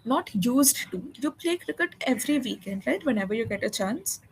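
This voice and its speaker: Woman, tutor, high voice, patient and encouraging, faint background noise. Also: expressive, moderate pace, poor audio. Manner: encouraging, patient, explains grammar step by step. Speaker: English tutor. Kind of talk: one-on-one spoken English lesson.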